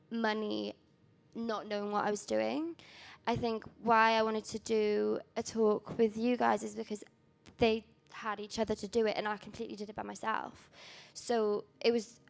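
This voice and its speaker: A young woman whose first language is Welsh presents her own magazine on a panel. Her voice sits a little high with a gravelly edge and stays fairly flat in tone. She talks casually and loosely, full of ums and self-corrections. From Wales. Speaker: magazine founder giving a talk.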